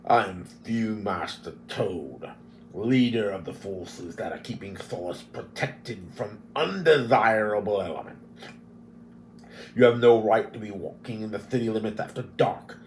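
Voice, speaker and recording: A man voicing a fat, pompous male hobgoblin officer who speaks slowly with a thick accent. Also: lowish voice, expressive, darkish tone, slight background noise. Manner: thick-accented, slow and self-important.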